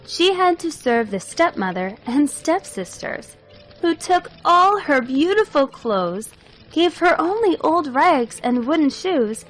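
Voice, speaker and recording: A woman narrating a scripted fairy tale for children in an expressive, measured storytelling manner. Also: sits high, rough recording.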